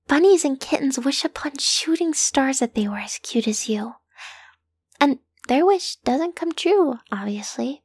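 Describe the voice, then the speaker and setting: A woman voicing a lovesick, possessive yandere kidnapper in an intimate roleplay audio, doting and coaxing in a breathy voice that often sinks into whispers. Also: high-pitched, darkish tone, no echo, heavily acted. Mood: gushing, playful. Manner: doting, coaxing, slow and lingering.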